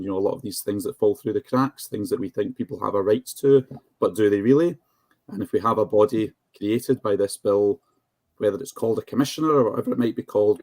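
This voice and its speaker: A man's voice: lowish voice, darkish tone, no room sound. A man speaking informally as a charity advocate on a panel.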